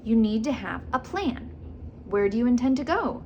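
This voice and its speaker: Woman acting storybook boy, fussy, practical, animated pitch, noisy recording. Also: normal speed, highs cut off. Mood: lecturing.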